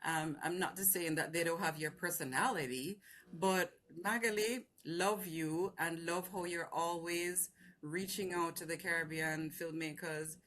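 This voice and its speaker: A woman's voice: lowish voice, steady tone, unhurried, fairly bright tone. A woman with a Jamaican accent, chatting effusively as a film programmer over a video call, laughing often. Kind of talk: casual video-call chat.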